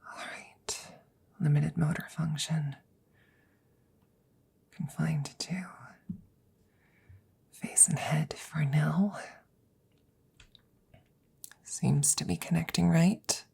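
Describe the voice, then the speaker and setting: Breathy, whispering anxious scientist played by a woman. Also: deep voice, fairly even intonation, dark tone, full character voice. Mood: tense, focused. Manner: halting, muttering, repeating herself nervously. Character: anxious female scientist tenderly saving her dying best friend. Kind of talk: whispered ASMR audio roleplay, cyberpunk drama.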